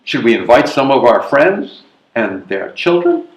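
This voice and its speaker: A man's voice: medium pitch, sing-song, unhurried. A man playing a hesitant, fretful elderly widower in a readers theatre comedy, protesting and questioning. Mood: nervous, questioning. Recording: echoey live room recording.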